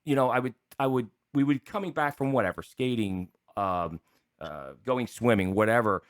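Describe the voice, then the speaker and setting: A man's voice: mid-range voice, normal speed, clear, resonant tone, no echo, clean studio sound. An American man in his sixties hosting a casual interview and chatting about old times. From Philadelphia, USA. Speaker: talk show host.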